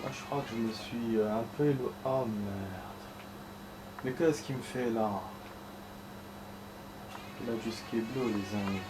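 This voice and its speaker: A man's voice: on the low side, unhurried, pauses often. Rambling man with roomy echo. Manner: chatty, rambling, swears, addresses viewers as friends. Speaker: gameplay streamer. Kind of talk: casual let's-play commentary. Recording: echoey room with clear background noise.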